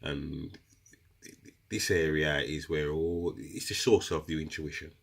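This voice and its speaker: Very deep husky man with a cold. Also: steady tone, takes it slowly, fairly bright tone. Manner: chatty, addresses viewers as guys. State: has a cold, runny nose. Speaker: crystal seller explaining meditation. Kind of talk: casual instructional video talk.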